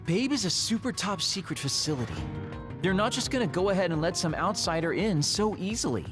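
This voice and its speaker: A man's voice: sits high, lively intonation, brisk, over music. A man playing a sly, sardonic young lone wolf in an English-dubbed anime game. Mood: incredulous, sly. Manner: wry, sardonic.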